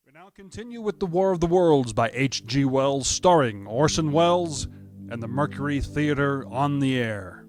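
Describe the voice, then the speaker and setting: A man's voice: medium pitch, animated, normal speed, soft background music. A man making a formal network announcement on a 1930s radio broadcast. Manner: formal, stately. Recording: old radio broadcast, dry.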